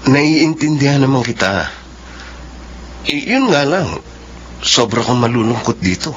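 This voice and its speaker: An actor playing a gentle, earnest young boyfriend, in a radio drama. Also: male voice, medium pitch, lively intonation, measured pace, lo-fi sound, slight background noise.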